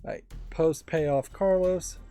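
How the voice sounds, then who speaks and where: A man doing casual gameplay commentary and reading aloud, halting as he thinks out loud. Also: on the high side. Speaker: let's play host. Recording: quiet game music behind. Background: game music.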